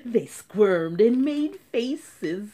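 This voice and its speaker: A woman reading a storybook aloud for children, dramatic and deliberate, with hushed drops and sudden emphasis. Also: on the high side, animated.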